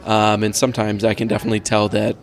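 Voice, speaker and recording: A man's voice: lowish voice, completely flat delivery, quick pace, faint music behind. A man co-hosting a podcast, asking thoughtful, hedged questions. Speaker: podcast co-host. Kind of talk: casual podcast interview.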